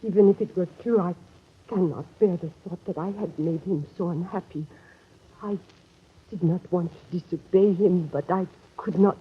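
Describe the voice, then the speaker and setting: Very husky, timid young woman. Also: on the low side, moderate pace. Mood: distressed, pleading. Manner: pleading, formal speech without contractions. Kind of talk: old-time radio drama acting. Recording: muffled lo-fi old radio recording.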